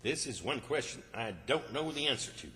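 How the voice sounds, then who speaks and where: A man's voice: mid-range voice, animated, a little fast, bright, forceful tone. A man playing a flustered, bossy rural Southern character in old-time radio comedy, folksy and exasperated. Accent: American South, rural. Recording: echoey hall, long reverb.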